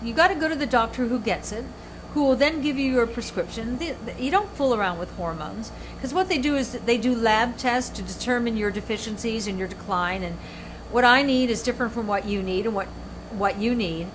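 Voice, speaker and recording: An older woman hosting her show, telling stories in an animated, emphatic way with many restarts. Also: on the high side, a little fast, noisy background. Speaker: show host and author. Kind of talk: casual chat.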